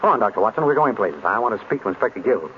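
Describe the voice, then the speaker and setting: An actor playing a wry, unflappable private detective in an old radio drama, with casual, clipped banter. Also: male voice, on the low side, big pitch swings, rapid-fire. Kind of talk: 1930s-40s radio drama acting. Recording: muffled old radio recording, faint noise.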